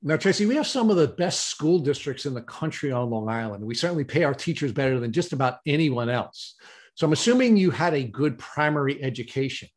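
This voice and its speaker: Steady male podcast host from New York. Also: medium pitch. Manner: measured, summarizing questions. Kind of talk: interview hosting.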